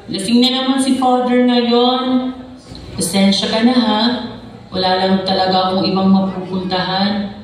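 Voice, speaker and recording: A woman's voice: mid-range voice, fairly even intonation, moderate pace, close to an everyday voice. A woman playing a needy, lovestruck young transgender woman with campy, pleading delivery in an amateur stage drama. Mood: needy, wistful. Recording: echoey room, distant mic, faint noise.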